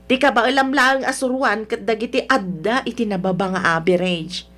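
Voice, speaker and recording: A sharp-tongued, middle-aged Ilocano mother-in-law from a radio drama, played by a woman. She has a fairly high voice and a nagging, sarcastic, demanding way of berating people. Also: moderate pace, lightly acted. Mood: scornful, scolding. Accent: Filipino, Ilocano.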